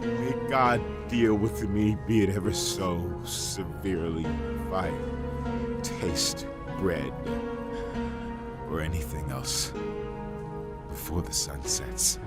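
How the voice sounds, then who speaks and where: A male actor playing a grieving, righteous warrior king in a dramatized scripture, with slow, solemn declamation. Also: low-pitched, over loud music. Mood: solemn vow.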